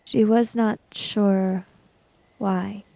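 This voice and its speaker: A woman playing a tabletop roleplaying game, speaking in character with careful, formal, halting phrases. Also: mid-range voice, steady tone, creaky, dark tone, no room sound.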